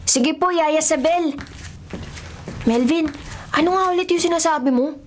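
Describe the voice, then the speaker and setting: High-voiced, talkative little boy. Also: unhurried, a little hiss or hum. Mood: curious. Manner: childlike, talkative. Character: young boy, curious, stubborn, believes in monsters. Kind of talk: scripted radio drama acting.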